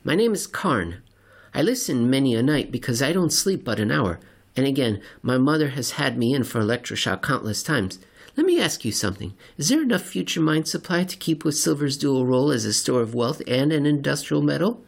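Guy doing a crazed sleepless conspiracy nut in an audio story, rambly and paranoid, pitch jumping around, bit gravelly. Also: sing-song, fairly quick. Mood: manic, earnest. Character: crazed sleepless conspiracy-obsessed male spaceship companion, manic.

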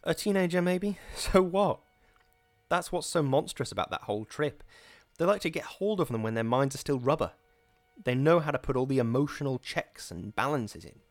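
A man voicing a weary, bitter young wife who speaks in a flat, mirthless way. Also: medium pitch, brisk, no room sound, soft background music, natural voice.

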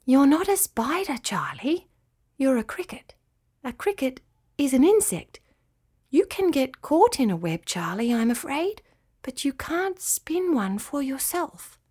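A woman voices a proud, kindly lady spider from a storybook. Her voice is silky and whispery, lilting upward as she patiently explains, with gentle care and an unhurried pace. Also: high voice, big pitch swings, pitched above the performer's own voice. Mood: patient, frank. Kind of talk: children's storybook character voice.

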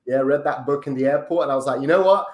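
Man from Brazil, chatty, echoey room, middle pitch. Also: fast talker. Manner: relaxed, chatty, many ums and tag questions. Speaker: podcast guest. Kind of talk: casual interview chat. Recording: roomy echo.

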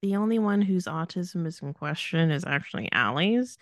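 A woman's voice: fairly low pitch, expressive, moderate pace, no room sound, clean studio sound. A woman hosting a podcast, chatting and commenting casually. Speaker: podcast host.

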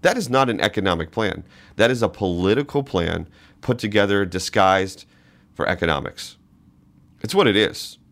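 A man with a low, deep voice delivers political commentary as a podcast host. He talks in a monologue and stresses his points emphatically, often repeating a phrase to drive it in. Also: lively intonation, normal speed, a bit bright.

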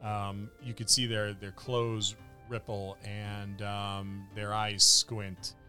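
Slow-paced, bright-voiced male game master. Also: lowish voice, little pitch movement. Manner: halting, vivid descriptive narration. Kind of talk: narrating a tabletop fantasy game. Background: background music.

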